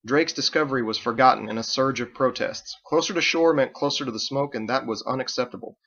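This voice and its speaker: Gravelly man reading a story aloud. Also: medium pitch, fast talker, fairly bright tone, highs cut off. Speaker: author reading own book. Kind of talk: scripted audiobook narration.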